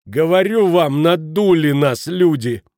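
A man's voice: on the high side, animated, measured pace, no room sound, studio quality, voice pushed up. Male narrator as an enraged, blustering cheated man. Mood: furious. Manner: ranting, shouting, punchy abrupt phrases.

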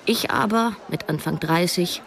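Low-voiced, self-doubting young woman narrator. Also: normal speed, vocal fry, some chatter behind. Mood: reflective, wry. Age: early 30s. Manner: reflective, literary storytelling. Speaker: narrator and lead character. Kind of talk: first-person radio drama narration. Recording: music behind the voice.

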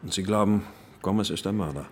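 A man's voice: deep voice, quick pace, a little hiss or hum, natural voice. An actor playing an inquisitive senior police officer in a radio drama, probing his way through a case in colloquial speech.

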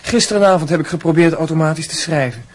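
Radio drama: male actor as defensive young student, higher-pitched, a touch rough, hesitant. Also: fast talker, close to an everyday voice. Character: prickly, defensive young medical student and pianist. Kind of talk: radio drama acting in Dutch.

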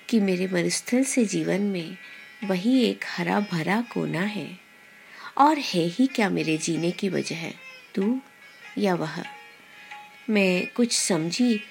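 A woman podcast host reading a short story in a measured, deliberate storyteller's delivery. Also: mid-range voice, creaky. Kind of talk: scripted storytelling narration. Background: background music.

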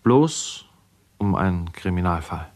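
A man's voice: low-pitched, animated, a little slow. An actor playing a skeptical, ominous man in a 1970s radio drama, questioning pointedly. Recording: hissy, noisy 1970s archive radio.

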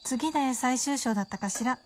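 Composed, uncanny wife, fairly high played by an actress. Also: female voice, brisk, slight background noise, natural voice. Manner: polite, composed feminine speech. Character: self-assured, composed, uncanny writer's wife. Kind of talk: radio drama acting.

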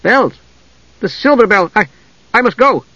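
A man's voice: fairly high pitch, animated, no echo, highs cut off. Man voicing a flustered young mage. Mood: alarmed. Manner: hurried, cross, then soft.

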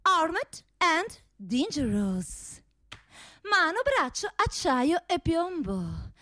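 Sultry show-off pistol for radio theatre, teasing and proud, very swoopy voice, dry close sound. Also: female voice, very high voice, big pitch swings, very slow, pushed and bright, no room sound, full character voice. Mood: seductive, proud. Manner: theatrical, self-admiring, teasing. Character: seductive, vain female pistol, proud movie veteran. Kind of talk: theatrical radio play monologue.

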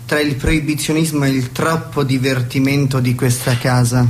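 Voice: mid-range voice, little pitch movement, moderate pace, a little hiss or hum, natural voice. An actor playing a deferential, dryly wry household servant in a radio play, speaking formally.

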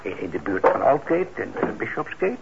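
An actor in a radio play as a kindly, trusting middle-aged shopkeeper, speaking gently and haltingly with patient encouragement. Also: male voice, mid-range voice, big pitch swings, creaky. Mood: encouraging. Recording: dull, noisy old radio recording.

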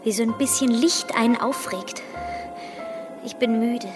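An actress plays a playful young baroness with a high voice. She is dreamy, exuberant and effusive, in a radio drama with music behind her. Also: unhurried, natural voice. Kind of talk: German radio play acting.

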